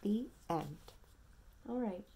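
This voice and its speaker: A woman in her thirties reading a picture book aloud to a toddler at home, dramatically, pausing to ask simple questions. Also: medium pitch, lively intonation, gravelly, mellow, slight background noise. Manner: dramatic, childlike questioning and prompting. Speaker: parent reading a bedtime story to a toddler. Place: small quiet room at home.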